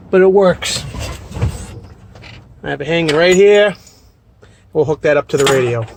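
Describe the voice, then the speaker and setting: A man, a trucker hosting a casual, plain-spoken step-by-step demonstration. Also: sits high. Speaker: trucker and vlog host. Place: inside a truck cab. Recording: noisy truck cab with clicks.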